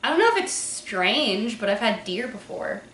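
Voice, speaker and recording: A woman in her twenties co-hosting a podcast, chatting easily in short, relaxed replies. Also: mid-range voice, moderate pace. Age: 20s. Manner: easygoing, brief replies. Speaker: podcast co-host. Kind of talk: casual chat. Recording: echoey room, faint noise.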